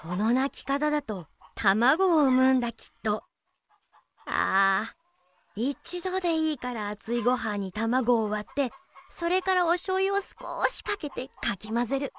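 A woman as a lively, high-voiced boy novice monk. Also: unhurried, slight background noise. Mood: dreamy longing. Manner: polite, childlike. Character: earnest, dreamy, sleepy boy novice monk. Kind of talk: radio drama acting.

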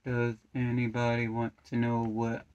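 A woman's voice: completely flat delivery, darkish tone, smooth, muffled recording. A woman doing a gloomy old donkey. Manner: low, slow and dreary.

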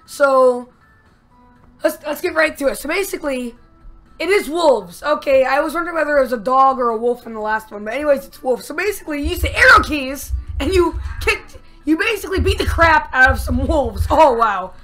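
A young woman giving excited gameplay commentary with a strained, worn voice, yelping and laughing at the action. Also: high voice, fairly quick. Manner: yelps and shrieks at the action, laughs a lot. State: strained, losing her voice. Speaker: let's play gamer. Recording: faint game music behind.

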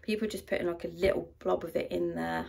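A young woman chatting casually through a grocery haul in a rambling way, her voice low and a little rough from a dry throat. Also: wildly swooping pitch, fast, darkish tone. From United Kingdom. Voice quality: low, gravelly voice. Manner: chatty and rambling, with many ums and likes. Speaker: vlogger. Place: at home.

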